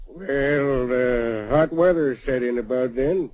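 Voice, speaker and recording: An actor playing an easygoing old-west prospector who narrates and speaks in a folksy drawl in an old-time radio western. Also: male voice, medium pitch, slow, a little mellow, natural voice, low-quality recording. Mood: narrating. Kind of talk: 1950s radio drama acting.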